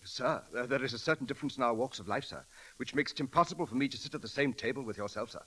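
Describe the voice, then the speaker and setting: A brisk, prim English manservant. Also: male voice, medium pitch, a bit bright, breathy edge, low-quality recording. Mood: flustered, deferential. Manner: formal, stiff, polite. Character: prim, deferential English gentleman's servant, stiffly proper. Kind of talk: 1930s radio comedy acting.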